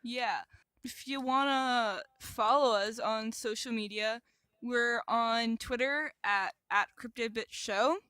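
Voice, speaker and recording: A woman's voice: fairly high pitch, slow, pushed and bright, clear, resonant tone, dry recording. A woman chatting casually as a podcast co-host, with a silly, cheeky, giggly manner. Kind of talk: casual chat.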